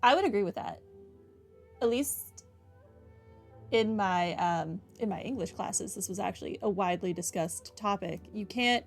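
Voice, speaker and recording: A woman's voice: medium pitch, moderate pace, vocal fry. A woman gaming host commenting on a playthrough in a musing, conversational, opinionated way. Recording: game music behind the voice. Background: game music.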